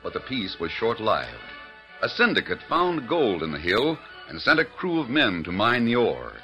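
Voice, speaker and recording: A man's voice: lowish voice, sing-song, normal speed, music in the background, rough recording. A man announcing and narrating an old radio western in a stirring, declamatory style. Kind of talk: dramatic 1940s radio announcing.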